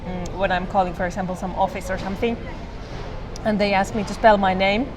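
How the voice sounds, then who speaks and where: A woman's voice: medium pitch, little pitch movement, a little fast, music in the background. A young woman from Finland, a comedian, chatting casually in an interview, hesitant with many fillers and restarts.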